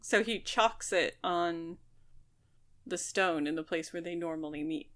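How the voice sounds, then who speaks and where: A woman's voice: on the low side, unhurried, fairly bright tone. Analytical woman co-hosting a casual book podcast. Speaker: podcast co-host.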